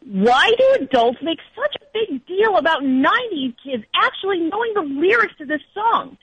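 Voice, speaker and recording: High-voiced young woman calling in. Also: a bit bright, no echo, muffled recording. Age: young adult. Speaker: caller. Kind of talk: casual chat and reading comments aloud.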